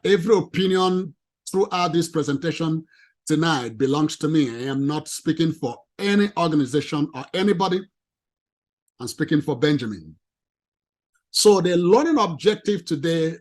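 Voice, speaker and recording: Animated man presenting with a non-native accent. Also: on the high side. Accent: non-native English. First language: not English. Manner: emphatic, lively, addresses ladies and gentlemen. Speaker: presenter at a professional meeting.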